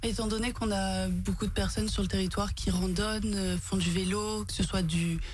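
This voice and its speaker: A woman's voice: mid-range voice, fairly even intonation, normal speed, a little mellow, soft background music. A woman with a French accent giving informative, slightly hesitant unscripted answers as an interview guest. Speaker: tourist office event organiser, interview guest.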